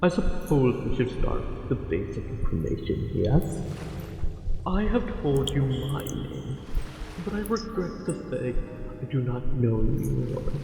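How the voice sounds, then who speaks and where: Man voicing a slow, echoing, possessive merman lover. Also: mid-range voice, very animated, vocal fry, warm tone, heavily acted. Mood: courtly, charmed. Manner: formal, deliberate, intimate, pausing between phrases. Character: possessive, lovestruck male angler merman, courtly and seductive. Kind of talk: ASMR roleplay acting. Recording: very echoey, long reverb, faint music.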